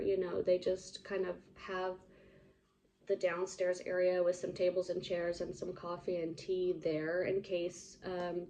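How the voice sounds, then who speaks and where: A young woman talks loosely and casually, filling her sentences with likes and ums. Her voice is mellow and soft-edged, sitting at an ordinary middle pitch. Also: poor audio. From United States. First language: English. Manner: rambling, lots of "like" and "um". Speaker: vlogger. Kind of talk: casual chat to camera.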